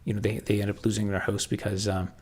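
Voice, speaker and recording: A man with a Canadian accent chatting casually on a livestream, answering viewers and thinking aloud in halting, restarting sentences. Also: lowish voice, level tone, a little mellow, a little hiss or hum.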